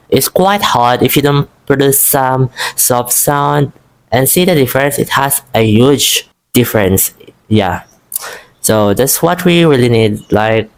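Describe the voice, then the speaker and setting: A man's voice: mid-range voice, slight background noise, poor audio. A young man from the Philippines with a Filipino accent, chatting casually and encouragingly to camera about learning English, rambling with frequent restarts. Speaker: vlogger.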